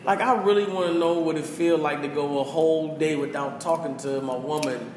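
A man's voice: fairly high pitch, fairly even intonation, a little fast. A man who rants passionately as a podcast host, preachy and full of profanity. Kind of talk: casual opinion rant. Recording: echoey room with faint hiss.

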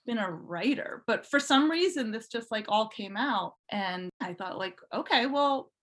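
Female podcast guest, informal and chatty, relaxed personal storytelling in everyday conversational language. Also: fairly high pitch, unhurried. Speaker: podcast guest, fan fiction writer. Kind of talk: casual chat, telling personal story.

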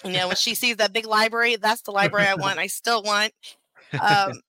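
A woman's voice: medium pitch, steady tone, brisk, sharp tone, no echo, poor audio. A woman who works at a public library, chatting as an interview guest with frequent fillers. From Houston area, Texas. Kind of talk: casual interview answers.

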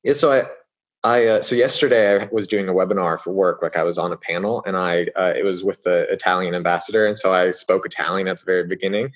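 A man's voice: low voice, fairly even intonation, fast talker, highs cut off. A man raised in the United States, talking casually as an interview guest, rambling with many fillers and restarts.

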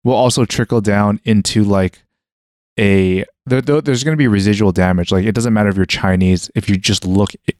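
Low-voiced man thinking aloud with restarts. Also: brisk, darkish tone, no echo, clean studio sound. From Western world (Canada). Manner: hesitant, many restarts and "like" fillers, thinking aloud. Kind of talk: casual conversation.

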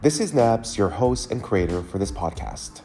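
A man hosting a podcast, his voice hoarse and raspy from the flu, a bit halting as he asks questions. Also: lowish voice, very animated, dark tone, soft background music. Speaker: podcast host.

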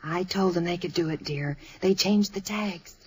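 An actress playing a polite, gentle middle-aged wife and mother with a husky voice in an old radio drama. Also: fairly low pitch, moderate pace. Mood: mildly puzzled. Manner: courteous, motherly. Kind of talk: 1950s radio drama acting. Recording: old radio broadcast recording.

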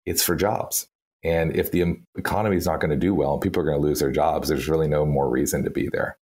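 Very deep husky Texan man. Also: fairly even intonation, fast talker, darkish tone. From Texas. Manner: chatty, thinks aloud with many "like" and "you know". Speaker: interview guest, campaign strategist. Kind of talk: casual interview conversation.

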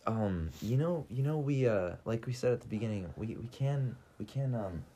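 A man's voice: mid-range voice, lively intonation, normal speed, warm tone, slight background noise. A man co-hosting a comedy podcast, talking in a hesitant, filler-heavy way and often breaking into giggles. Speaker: podcast co-host. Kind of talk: comedy sketch and casual podcast chat.

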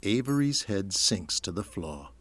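A man's voice: fairly low pitch, sing-song, unhurried, noisy background. A man narrating an audio drama in a scripted, dramatic present-tense style.

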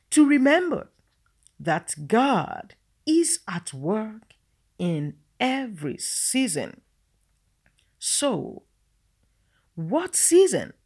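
A woman whose first language is Yoruba leads listeners through a devotional message and prayer. She speaks slowly and earnestly and greets her audience as friends. Her voice is breathy and fairly high, with a lively rise and fall. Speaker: devotional host.

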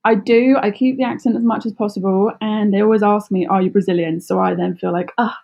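A British woman speaking casually as an interview guest, chatty and expressive, often acting out little quotes. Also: mid-range voice, brisk, gravelly, dull audio. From United Kingdom. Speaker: podcast guest, travel blogger.

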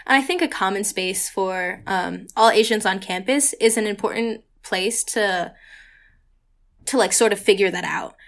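A woman's voice: mid-range voice, normal speed. Young female student co-host, loose chatty delivery full of fillers, mid-range voice. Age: young adult. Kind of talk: casual conversation.

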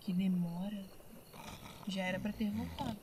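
A woman's voice: medium pitch, moderate pace, noisy background, natural voice. A woman with a Brazilian accent playing a restless, headstrong duchess in a period audio drama.

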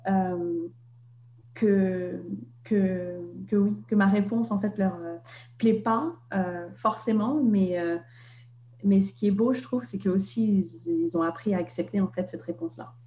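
A young woman from Vietnam, an author and interview guest, talking in a reflective way and often pausing on fillers and restarts. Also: medium pitch, warm tone, echoey room. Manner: hesitant, many fillers and restarts.

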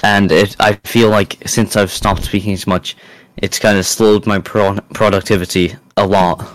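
Low-voiced young man chatting, dry close sound. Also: flat delivery, moderate pace, dry recording, poor audio. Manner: self-deprecating. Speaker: podcast guest, YouTuber. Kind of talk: casual chat.

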